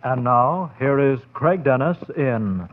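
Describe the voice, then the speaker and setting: A man's voice: medium pitch, expressive, slow, phone-quality sound. A man announcing a scripted horror show in 1940s radio style. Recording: thin band-limited 1940s radio recording. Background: faint music.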